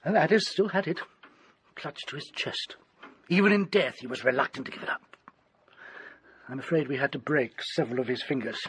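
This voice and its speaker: An actor playing a haunted, desperate gentleman doctor in a radio drama, with a British accent and an ornate, grimly theatrical delivery. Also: medium pitch, a little fast, long pauses, slight background noise, lightly acted. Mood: grim relish. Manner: ornate, theatrical, dwells on grisly detail.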